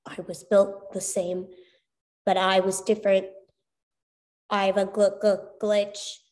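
A woman voicing a timid, glitchy female robot spider that speaks haltingly, word by word, with stuttered consonants. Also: medium pitch, monotone, a little mellow, close to an everyday voice. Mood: earnest, halting.

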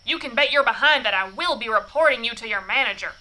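A stern countrywoman farmer with a sharp, forceful, fairly high voice. She is suspicious, bossy and folksy, in radio drama style with faint ambience behind. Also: animated, fast, low-quality recording. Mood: threatening. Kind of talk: science fiction radio drama acting.

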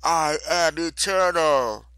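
A man voicing a wrathful ancient earth goddess in an audiobook, imperious and defiant, declaiming in drawn-out, booming phrases. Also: bright, forceful tone, clear, resonant tone, no echo. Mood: defiant rage. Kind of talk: dramatic audiobook character acting.

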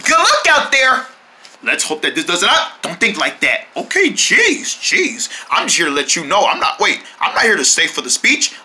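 A performer voicing every character in a homemade paper puppet skit, jumping between squeaky and low put-on voices with lots of shouting and crying. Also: huge pitch swings, moderate pace.

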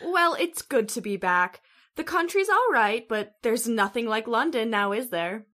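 An actress playing a lively, outspoken young daughter in a radio drama. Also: female voice, on the high side, a little slow. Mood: cheerful. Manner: bright, outspoken.

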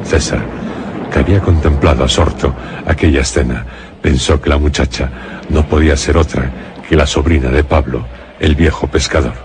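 A man narrating a radio horror drama as a grave storyteller, solemn and deliberate, with a Castilian accent. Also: a bass voice, fairly even intonation, background noise. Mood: grave suspense. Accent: Castilian Spanish. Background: music.

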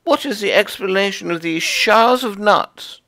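Storybook figure: a man doing a fussy little female chipmunk, high and squeaky, ruffled and indignant. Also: animated, moderate pace, bright, forceful tone, heavily acted. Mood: flustered. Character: flustered chattering female chipmunk wife.

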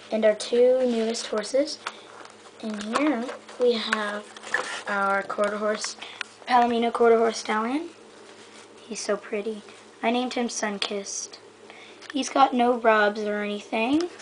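A woman with a slightly high voice talks slowly through a casual show-and-tell. She is hesitant and halting, often letting sentences trail off. It is an amateur home camera recording with faint noise. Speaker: hobbyist giving a toy horse stable tour. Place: indoors in a room at home. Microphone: handheld camera held close by the speaker.

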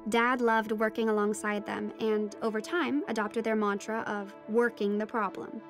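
A woman's voice: medium pitch, little pitch movement, moderate pace, over music, natural voice. A woman playing a teenage girl who narrates her own adventure in a scripted audio drama. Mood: narrative, suspenseful. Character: teenage daughter recounting her ocean adventure. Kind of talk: scripted first-person audio fiction narration.

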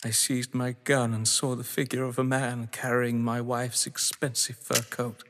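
Casting: male actor playing bitter, possessive gamesman for audio drama; unhurried, theatrical speech in a long reverberant space. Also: mid-range voice, flat delivery, fairly bright tone. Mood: cold scornful. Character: bitter, possessive male crime-story lover, pleading then menacing. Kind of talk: dramatic stage-play acting.

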